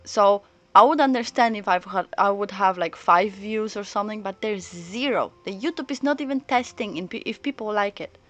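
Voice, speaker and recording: A woman streams a story game and chats casually as she plays. She speaks in a halting, rambling way, thinking out loud. Soft game music sits behind her voice. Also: medium pitch. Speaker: live streamer. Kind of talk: casual chat while playing a story game.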